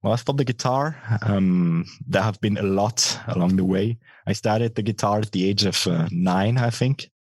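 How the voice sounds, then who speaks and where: A young adult man with a bass voice, a guitarist and singer answering interview questions thoughtfully, with many pauses and fillers. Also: a little mellow, no echo. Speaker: guitarist and vocalist, guest.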